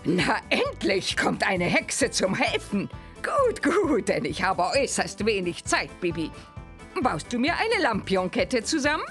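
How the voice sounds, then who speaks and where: A woman's voice: mid-range voice, sing-song, measured pace, a bit bright, soft background music. A woman playing a busy, fussy grown-up witch in a cartoon game, theatrically giving instructions. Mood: hurried.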